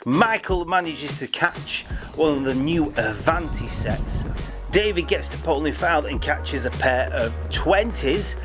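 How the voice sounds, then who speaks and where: A man's voice: on the high side, lively intonation, unhurried, music in the background. A man hosting a railway news roundup in a chatty, jokey way, sometimes stumbling over names. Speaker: host of a railway news show. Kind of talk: upbeat news roundup presenting.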